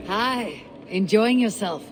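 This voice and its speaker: A blunt Viking warrior. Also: female voice, medium pitch, animated, takes it slowly, noisy background. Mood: terse. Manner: terse, blunt.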